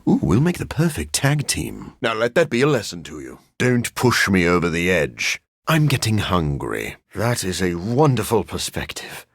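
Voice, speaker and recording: Brooding brainy male vampire for a video game, husky and gravelly, dry formal wording, pitch swings around a lot. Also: sing-song, no room sound, full character voice. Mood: wry, amiable. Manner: formal, articulate, dry and deliberate. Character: brooding, intellectual adult male vampire scientist, dryly witty. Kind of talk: video game character dialogue.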